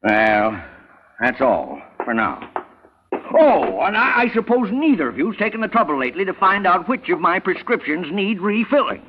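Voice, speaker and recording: A man's voice: high voice, very animated, normal speed. An American man playing a querulous, bullying old invalid uncle in a 1940s style radio drama, grumbling and demanding. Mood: demanding, fussy. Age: older. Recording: dull, crackly old radio recording.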